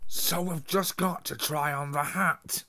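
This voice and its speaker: A man's voice: a little high, fairly even intonation, a little slow, sharp tone, pitched above the performer's own voice, exaggerated voice. A man voicing a nervous young schoolboy who mutters gloomy asides. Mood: grumbling.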